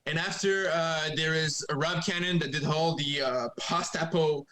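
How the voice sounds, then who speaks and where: A young man from Quebec with a French-Canadian accent, speaking English as a second language, chatting haltingly in a remote podcast interview. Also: on the high side, fairly even intonation, bright, forceful tone. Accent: Québécois French. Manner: halting, many fillers and restarts. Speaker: guest comic writer. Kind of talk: casual interview chat.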